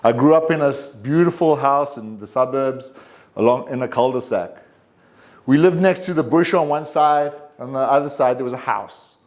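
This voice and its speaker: A man's voice: mid-range voice, moderate pace, a little mellow. South African man telling a personal story. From Durban, South Africa. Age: 50s. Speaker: club speaker giving a personal speech. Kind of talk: prepared personal storytelling speech.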